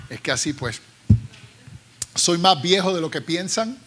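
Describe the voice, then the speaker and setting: A middle-aged Puerto Rican man preaching and reading scripture aloud. His voice is bright and a little higher than most men's. He talks with friendly asides and frequent small restarts. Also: lively intonation, a little slow, slight background noise. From Puerto Rico. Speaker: preacher from a seminary team.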